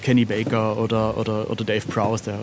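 A man's voice: lowish voice, monotone, a little fast, noisy background. A man speaking as an illustrator guest in a casual interview, informal, irreverent and jokey.

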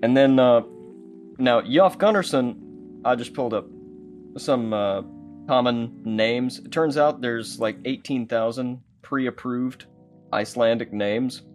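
A man's voice: mid-range voice, slow pace, darkish tone. A man chatting casually as an audio drama creator, acting out remembered exchanges as he tells behind-the-scenes stories. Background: guitar music.

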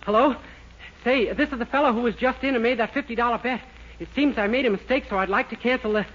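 A man's voice: very high-pitched, fast talker, fairly bright tone. An actor playing a naive, polite and easily confused young man in a 1940s radio comedy, earnest and literal-minded. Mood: flustered. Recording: muffled, very noisy old radio recording.

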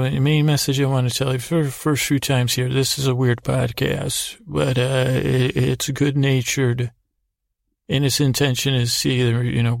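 A man hosting a sleep podcast with a meandering, halting bedtime monologue, repeating words and trailing off in a creaky, gravelly voice. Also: medium pitch, no echo, clean studio sound. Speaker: podcast host. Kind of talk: rambling bedtime monologue to help listeners sleep.

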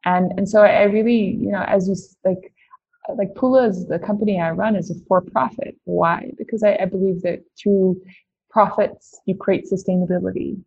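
A woman's voice: medium pitch, fairly even intonation, normal speed, vocal fry, a little mellow, husky, muffled recording. A woman from the Netherlands, a company founder answering interview questions, thinking aloud with many "like"s and restarts. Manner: lots of "like" and restarts, thoughtful.

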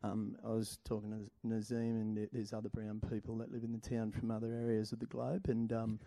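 Gravelly, mellow man. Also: on the low side, monotone, a little fast, poor audio. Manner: hesitant, full of ums. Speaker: comedian, panel guest. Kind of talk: live podcast panel chat.

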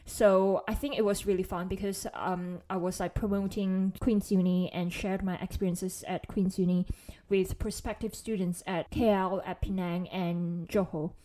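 A woman's voice: medium pitch, fairly even intonation, normal speed, few pauses, warm tone. A woman, co-hosting a casual podcast chat, talking in a chatty way with many fillers and small laughs. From Malaysia. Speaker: podcast co-host.

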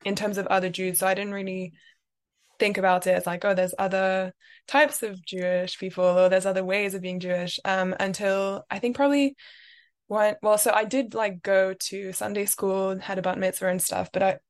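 A woman's voice: mid-range voice, steady tone, normal speed. Young woman, reflective, chatty, frequent fillers. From France, raised in London. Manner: thoughtful, many "like" and "um" fillers. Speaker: guest. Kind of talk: reflective interview talk.

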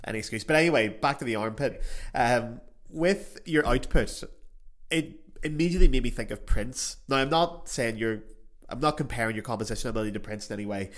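A man's voice: medium pitch, fairly quick, a bit bright. Belfast guy doing podcast chat, jokey and chatty, says his words carefully. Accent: Belfast, softened and carefully enunciated. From Northern Ireland. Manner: chatty, jokey, self-deprecating. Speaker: podcast host. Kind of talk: casual interview chat.

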